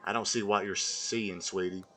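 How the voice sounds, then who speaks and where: A man's voice: fairly low pitch, steady tone, moderate pace, bright, forceful tone. Man putting on a folksy, simple Southern drawl. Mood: earnest, resigned. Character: slow, simple, earnest Southern man, film-character impression. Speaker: video game streamer. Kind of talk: livestream gaming chat held in a put-on voice. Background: music.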